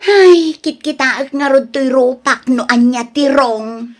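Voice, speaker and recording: A woman's voice: high-pitched, takes it slowly. A woman acting an exasperated, sighing younger village woman in an Ilocano radio drama, with an Ilocano accent.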